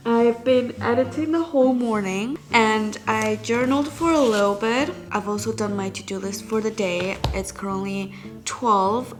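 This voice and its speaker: A woman's voice: medium pitch, a bit bright. A young woman with a stuffy, nasal voice from a cold, chatting casually as a vlogger about her day, speaking into a phone camera. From China. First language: Chinese. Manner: chatty, diary-like, laughs lightly. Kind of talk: casual vlog chat. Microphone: handheld phone camera. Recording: vlog with music bed under voice. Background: background music.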